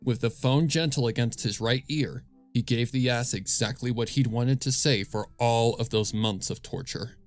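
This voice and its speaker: A man's voice: medium pitch, normal speed, hardly any pauses, faint music behind. A man narrating scripted fan fiction as a storyteller, close on the microphone.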